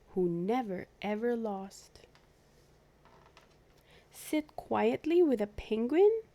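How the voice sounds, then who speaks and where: Slow, mellow woman reading a picture book. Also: mid-range voice. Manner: clear storytelling with animated asides to young listeners. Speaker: host of a children's read-aloud series. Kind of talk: expressive picture-book narration for young children.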